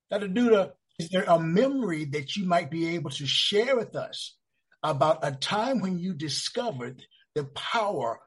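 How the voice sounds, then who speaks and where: Lively, husky-voiced male interviewer. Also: fairly high pitch. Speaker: radio show host.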